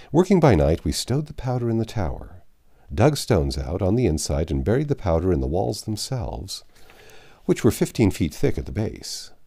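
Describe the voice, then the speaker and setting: Older man, audiobook narration, low pitch, very animated intonation, measured delivery with dramatic pauses. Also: creaky. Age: 50s or 60s. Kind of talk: reading a classic novel aloud. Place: small home library, indoors.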